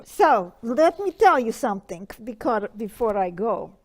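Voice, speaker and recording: A woman's voice: fairly high pitch, very animated, a little slow. A woman voicing a flamboyant, dramatic dead old madame who calls people darling and gives advice. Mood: theatrical. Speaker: advice show persona.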